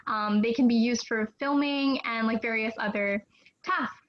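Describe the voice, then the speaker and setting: Higher-voiced young woman, slightly muffled. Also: normal speed, slight background noise. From British Columbia, Canada. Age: young adult. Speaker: student panelist. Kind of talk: casual explanatory self-introduction.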